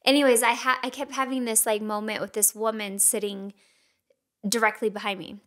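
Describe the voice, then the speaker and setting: Higher-voiced woman co-host, casual and chatty, laughs a lot. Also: little pitch movement, a little fast, a bit bright. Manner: chatty storytelling, laughs often. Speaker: podcast co-host. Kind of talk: casual chat.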